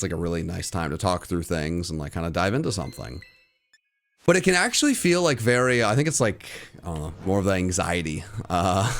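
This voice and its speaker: A young man streaming, chatting casually with viewers, rambling through fillers and restarts with a distinct voice people call good for radio. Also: low-pitched. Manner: rambling, lots of fillers and restarts, laughs often. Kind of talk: casual livestream chat with viewers.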